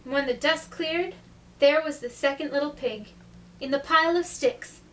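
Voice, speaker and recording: A woman's voice: high-pitched, normal speed, a bit bright. A woman voicing an aggrieved, sly male wolf who tells his side in a chatty, self-justifying puppet show manner. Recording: distant microphone.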